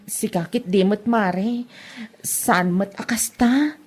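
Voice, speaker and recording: A woman's voice: mid-range voice, takes it slowly, darkish tone. A woman playing a meek, flustered village neighbour in a comedy drama, hesitant, with an Ilocano accent.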